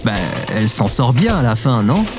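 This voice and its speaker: Man playing a curious young adventurer, mid-pitched. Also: big pitch swings, moderate pace, music in the background, natural voice. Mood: curious, teasing. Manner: casual, enthusiastic, questioning. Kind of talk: audio fiction acting.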